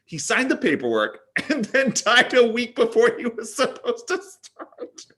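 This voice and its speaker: A brash Hollywood hustler played by a man in a comedy table read. His high, forceful voice swings widely in pitch, with punchy, over-the-top delivery. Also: huge pitch swings, a little slow, full character voice. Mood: boastful. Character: brash, name-dropping Hollywood wheeler-dealer man.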